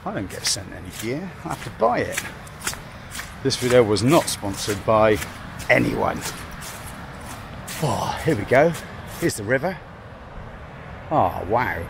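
An older man talks to a camera held at arm's length, in a chatty, self-deprecating ramble. Loud rushing river water roars behind him outdoors. His pitch sits at an ordinary middle height for a man. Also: big pitch swings, vocal fry, background noise. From England. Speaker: hiking vlogger. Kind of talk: casual chat to camera. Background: roaring river water.

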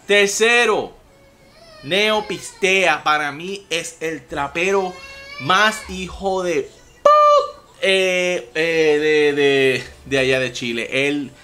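A man's voice: fairly high pitch, very slow. A young man with a Puerto Rican accent hosting a casual music reaction vlog from his room at home, talking with big gestures and a lot of swearing. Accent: Puerto Rican Spanish. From Puerto Rico. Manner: animated, profane, gestures a lot while talking. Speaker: music reaction video host. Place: home room with TVs and gaming gear, indoors.

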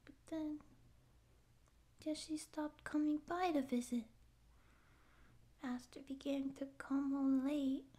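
A young woman acting a naive, pouty stray catgirl in a soft, halting ASMR roleplay voice. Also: high-pitched, steady tone. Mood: sad, tearful. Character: naive, tearful stray catgirl would-be space pirate. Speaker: ASMR voice actor. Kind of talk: ASMR roleplay audio drama.

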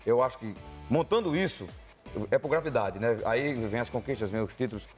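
A man's voice: mid-range voice, lively intonation, brisk, soft background music. A middle-aged man with a Brazilian accent giving conversational football commentary in a TV studio.

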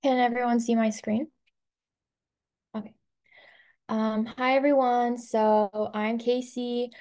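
A woman's voice: on the high side, flat delivery, slow, mellow. A young adult woman from Albania, a student giving a webinar presentation over a video call, talking casually with plenty of "um" and "like" fillers.

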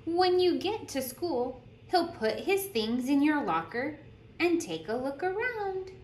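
Female read-aloud teacher for picture books, very animated intonation, addresses young listeners, noisy room recording. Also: sits high. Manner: deliberate storytelling with questions to young listeners. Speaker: teacher reading aloud to children. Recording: roomy echo with clear background noise.

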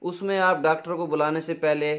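A man's voice: a little high, fairly even intonation, moderate pace, a bit bright. A middle-aged man with a Pakistani accent, explaining things in a practical, instructive way as a farming advice presenter. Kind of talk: informal instructional explanation to camera. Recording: dull, lo-fi sound.

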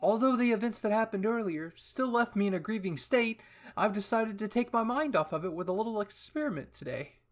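An excitable, effusive female military scientist, acted out by a male story reader. The voice is pushed high and delivered theatrically, full of drama. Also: a little fast. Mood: cheerful, eager.

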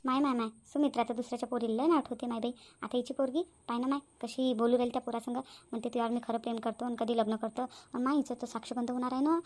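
Casting: woman playing a bossy village mother-in-law, high rapid scolding. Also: fairly even intonation, darkish tone, natural voice. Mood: gossiping. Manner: fast scolding chatter. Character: scheming nosy middle-aged village mother-in-law. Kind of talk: cartoon voice acting.

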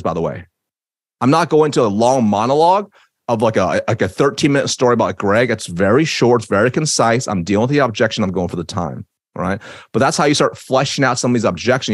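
Man in his 30s, sales trainer on a video call; rapid, emphatic delivery with an occasional stutter, close studio mic, dry sound. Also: lowish voice, no echo. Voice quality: stutters at times. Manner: energetic, emphatic, gestures while talking. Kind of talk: webinar teaching. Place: home office with bookshelves. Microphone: studio mic on boom arm, video call.

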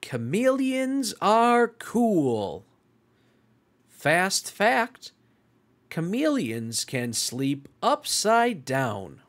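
A read-along host reading aloud for young children in a deliberate, exclamatory and animated way. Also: mid-range voice.

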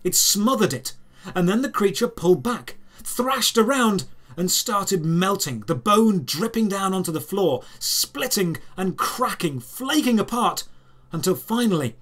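A man narrating a scripted audio drama in the first person, in measured, deliberate phrases. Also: on the high side, a bit bright. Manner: measured, storytelling delivery in short deliberate phrases.

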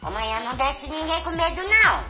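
A woman voicing a defensive young female house cat who protests quickly. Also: high-pitched, very animated, over music.

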